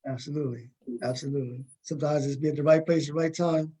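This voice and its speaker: A man's voice: fairly high pitch, steady tone, fast, warm tone, slightly rough. A man hosting a talk show in a casual, conversational way, often repeating words and phrases as he goes. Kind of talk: casual interviewing.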